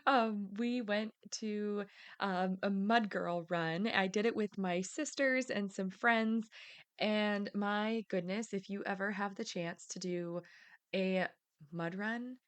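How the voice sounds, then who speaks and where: A woman in her thirties hosting her podcast in a chatty, upbeat way, her voice raspy because she has partly lost it. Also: mid-range voice, slow pace. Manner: upbeat and chatty, with fillers and restarts; energetic scripted intro. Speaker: podcast host. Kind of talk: casual solo podcast talk.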